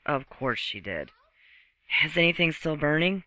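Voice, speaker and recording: An actress playing an unflappable, folksy emergency dispatcher in a radio play, familiar and wry. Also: female voice, low voice, animated, unhurried, sharp tone, no room sound, exaggerated voice.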